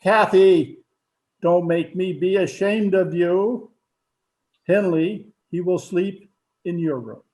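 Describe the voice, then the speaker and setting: A man playing a stern but charitable father in an old-time radio drama, with a measured, authoritative manner. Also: high-pitched, a little mellow. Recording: home recording over video call.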